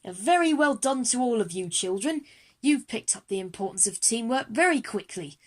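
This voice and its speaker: A woman playing a brisk, formal teacher rallying schoolchildren in an audio drama. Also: on the high side, expressive. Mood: proud. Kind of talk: scripted audio drama dialogue.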